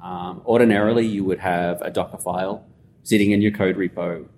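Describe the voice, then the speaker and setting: Australian man, low voice, conversational guest who rambles with fillers; echoey room, faint rumble. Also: brisk. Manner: relaxed, rambling explainer with many fillers. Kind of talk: casual conversational interview. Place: conference venue.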